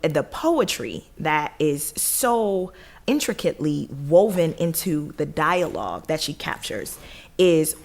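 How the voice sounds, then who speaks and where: A woman's voice: low-pitched, very animated, slow. A woman guest giving reflective, emphatic, conversational answers in an interview.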